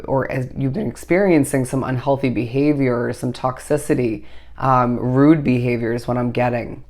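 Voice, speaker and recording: A tarot reader with a hoarse voice that is still recovering, giving a meandering, intuitive solo reading and speaking straight to the listener. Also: mid-range voice, a little mellow. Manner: meandering, intuitive delivery full of "I do feel as if"; reassuring, addresses the listener directly.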